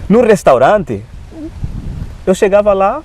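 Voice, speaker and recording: A pastor speaking with a foreign accent tells his story slowly and plainly, with frequent tag questions along the way. His voice sits a bit high for a man. A faint hiss sits behind him. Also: sing-song, darkish tone. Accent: foreign accent in Portuguese. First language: not Portuguese. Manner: unhurried storytelling with frequent "né?" tags and light laughs. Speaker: pastor, Adventist preacher. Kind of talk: personal testimony in an interview.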